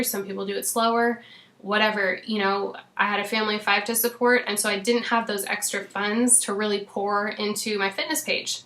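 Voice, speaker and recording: A woman in her thirties with a hoarse, fading voice talks casually to her coaching team, filling pauses with "um" and "you know". Her pitch sits in a middling range. The room around her sounds echoey. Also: a bit bright. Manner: chatty, frequent "um" and "you know". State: losing her voice. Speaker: fitness coach.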